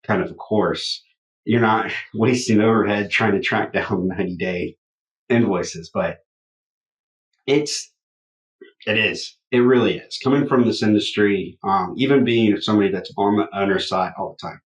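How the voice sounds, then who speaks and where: A loud-voiced man co-hosting a podcast in casual chat. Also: fairly low pitch, moderate pace, echoey room, rough recording. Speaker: podcast co-host.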